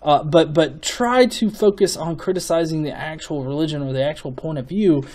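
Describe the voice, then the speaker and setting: A man giving off-the-cuff opinion commentary as a video host, in a casual, sweary manner with halting, repeated words. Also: a little high, darkish tone. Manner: casual, profanity-laden.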